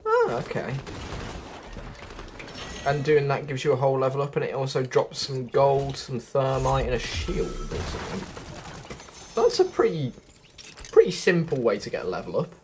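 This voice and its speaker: A man's voice: medium pitch, very animated, normal speed, long pauses, darkish tone, a little hiss or hum. A man giving a casual, chatty walkthrough of a video game, often thinking aloud as he guides viewers. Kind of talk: casual gameplay walkthrough commentary.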